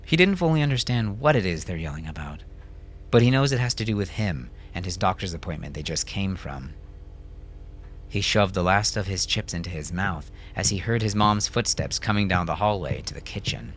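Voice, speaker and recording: A man's voice: low-pitched, expressive, normal speed, fairly bright tone, slight background noise. A man reading scripted fiction as an audiobook narrator.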